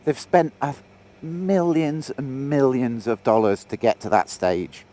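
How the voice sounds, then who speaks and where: A man's voice: medium pitch, very animated, measured pace, mellow. A man with a head cold, chatting and joking while riding a motorbike. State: congested with a cold. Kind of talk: casual chat while riding. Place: outdoors on a moving motorbike. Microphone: action camera on the bike.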